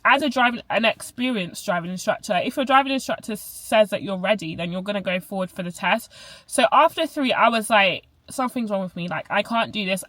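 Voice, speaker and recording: A young woman with a Barbadian accent talks casually to her viewers in a slightly high voice, often stopping to correct herself. The sound is dry and close, with little room in it. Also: a bit bright, dry recording. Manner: chatty, self-correcting, lots of "like" fillers. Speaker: vlogger. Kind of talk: casual chat to camera.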